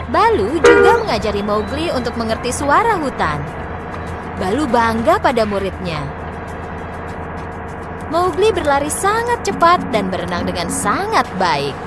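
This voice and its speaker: Very lively woman storyteller over music. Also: fairly high pitch, unhurried, long pauses, poor audio. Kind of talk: scripted children's story narration.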